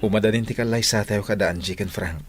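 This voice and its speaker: A man's voice: fairly low pitch, quick pace, loud music behind the voice, lightly acted. A man playing an earnest young fiancé in a romantic radio drama, soothing and reassuring. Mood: reassuring, tender.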